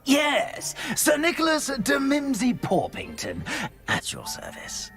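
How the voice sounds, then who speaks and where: An actor playing a courtly, eager ghost knight in a fantasy video game, with an English accent and formal, fussy courtesy. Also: male voice, very high-pitched, huge pitch swings, takes it slowly, a bit bright, faint music behind, full character voice. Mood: courtly, welcoming.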